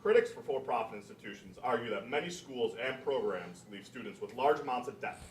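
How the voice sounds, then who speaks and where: A man's voice: mid-range voice, lively intonation, a little fast. A young man reading scripted narration aloud as a student presenter, stumbling now and then. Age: young adult. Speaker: student presenter and narrator at a readers theater event. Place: room at a college library event. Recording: echoey, distant mic with faint noise.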